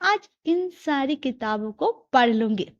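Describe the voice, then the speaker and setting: A woman voicing a spirited, scheming young daughter-in-law in animated story dialogue, chatty and dramatic, talking to herself. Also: high voice, unhurried, highs cut off. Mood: determined.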